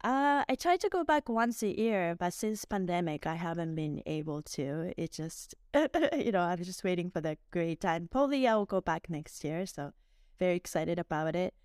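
A woman in her forties from Japan, speaking English with a Japanese accent as a podcast guest, warm and laughing often, with plenty of "um" and "like". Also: lowish voice, animated, moderate pace, clean tone, dry recording, studio quality. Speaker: guest, dance instructor. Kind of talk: casual interview.

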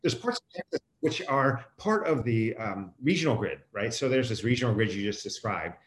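An American man speaks as a guest expert in an interview. His voice sits at an ordinary middle pitch, and he explains things in a conversational, informal manner. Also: animated, moderate pace. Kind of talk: casual explanatory interview answers.

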